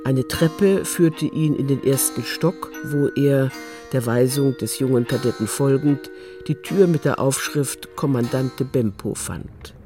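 A man's voice: mid-range voice, a little slow, dark tone. A man reading scripted literary narration as the narrator of a crime story. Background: music.

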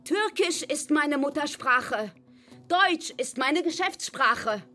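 Indignant turkish-german teacher lady, highish voice, radio play monologue, bit of music. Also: lively intonation, slow pace, pushed and bright, full character voice. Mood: indignant, proud. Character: self-assured Turkish-German schoolteacher, indignant and defiant.

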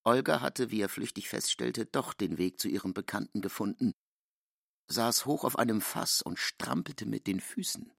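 A man's voice: lowish voice, expressive, normal speed, creaky, a bit bright, no echo, studio quality. A man narrating literary fiction from a script for an audio drama.

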